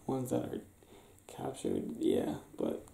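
Mellow, gravelly young man, soft and intimate. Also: rumbling low, wildly swooping pitch. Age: young adult. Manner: soft-spoken, affectionate, halting and playful. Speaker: ASMR roleplayer. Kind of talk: ASMR boyfriend roleplay, improvised intimate chat. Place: small indoor bedroom, lying on a bed. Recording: roomy bedroom sound with faint hiss.

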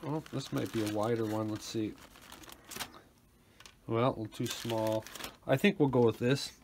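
A man's voice: mid-range voice, fairly even intonation, moderate pace, darkish tone. Man thinking aloud over background noise. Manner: informal, rambling, thinking aloud. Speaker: repair video host, technician. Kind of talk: casual hands-on workbench commentary. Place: small indoor workshop at a workbench. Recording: clear background noise, handling rustle.

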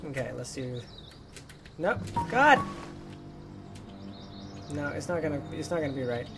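A young man excitedly and chattily commenting as he plays a video game. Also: on the high side, measured pace. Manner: excitable, chatty, swears lightly. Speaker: let's play gamer. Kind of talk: casual gameplay commentary. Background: game music.

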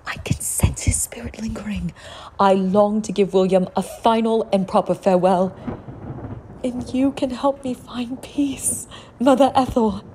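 A woman acting a young, genteel, desperate widow in a gothic audio drama, speaking formally and theatrically with a breathy voice. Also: mid-range voice, unhurried, mellow, a little hiss or hum, lightly acted. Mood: anguished.

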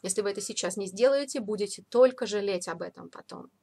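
A woman teaching a vocabulary lesson in an informal, explanatory way, repeating key words slowly for learners. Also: medium pitch, a little mellow, slightly rough. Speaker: English teacher. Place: voice-over of a screen recording.

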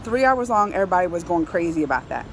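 A woman in her thirties chatting casually to camera as a movie reviewer, emphatic and excitable, repeating phrases for effect. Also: on the low side, quick pace. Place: outdoors on a high balcony over a city. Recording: outdoor city noise, passing cars. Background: urban traffic ambience.

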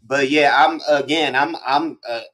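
A man's voice: fairly high pitch, steady tone, measured pace, a bit bright. A middle-aged man with an American accent hosts a casual hip hop interview, speaking in slang and thinking aloud as he goes. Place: small room full of memorabilia, indoors, on a video call. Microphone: video call.

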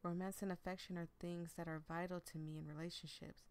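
A woman voicing a gentle, attentive young boyfriend in a visual novel, measured, sincere and open about feelings. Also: on the low side, level tone, close to an everyday voice. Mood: reflective, sincere. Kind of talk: visual novel read aloud.

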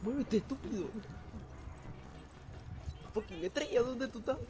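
A young man gaming with friends, shouting orders, swearing and laughing at the chaos. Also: very high voice, expressive, a little slow. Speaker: gamer in a co-op group. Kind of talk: loud casual gaming banter.

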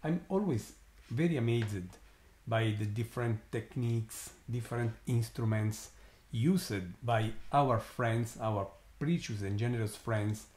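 A man with an Italian accent, his first language Italian, hosting a live astronomy stream and commenting slowly with many pauses and fillers, sounding amazed. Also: medium pitch, darkish tone, roomy sound. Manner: slow, halting, many fillers, awed.

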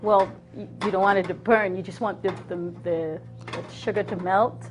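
A middle-aged woman casually demonstrating a recipe, explaining it in a hesitant, halting way. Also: medium pitch, steady tone, over music. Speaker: guest cook demonstrating a recipe. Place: TV kitchen studio set.

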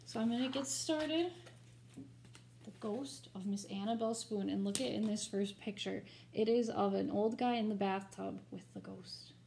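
A woman's voice: mid-range voice, moderate pace, vocal fry, dark tone, a little hiss or hum. A woman teacher reading a picture book aloud expressively, with informal, chatty asides for children.

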